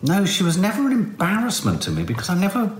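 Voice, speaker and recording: Man co-host, animated swooping voice, roomy echo. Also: high voice, quick pace. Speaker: podcast co-host. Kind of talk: casual podcast chat.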